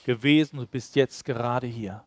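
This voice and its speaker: A man works as a live interpreter for a sermon. His voice sits at an average pitch and he delivers the message in short consecutive phrases. The sound is dry, with hardly any room to it. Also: normal speed, no echo.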